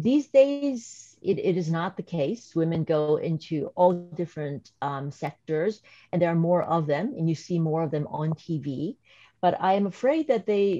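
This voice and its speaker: A woman in her fifties with a slight Korean accent speaks on a webinar panel in a low, mellow voice, measured and thoughtful. She talks over a video call, and the sound is dry and slightly muffled. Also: no echo. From South Korea. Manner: measured, thoughtful, some restarts. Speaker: professor and panelist. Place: home or office video call.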